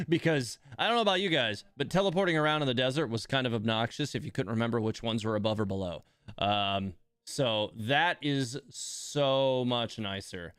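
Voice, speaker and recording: A man's voice: medium pitch, a bit bright, smooth, no room sound, studio quality. A man giving casual, chatty game commentary as a streamer, talking to viewers and repeating words for emphasis.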